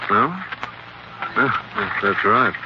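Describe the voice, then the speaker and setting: A wry gentleman sleuth in an old radio drama. His low voice is unruffled and dryly understated. The sound is dull and clearly noisy. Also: very slow. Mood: wry, relaxed. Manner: dry, understated. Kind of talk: 1940s radio acting.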